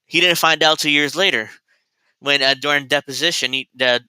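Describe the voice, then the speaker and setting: A man's voice: a little high, fairly even intonation, moderate pace, sharp tone, clean tone, dry recording, rough recording. A man in his thirties hosting a casual commentary show, rambling and digressive, with frequent restarts. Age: 30s. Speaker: host.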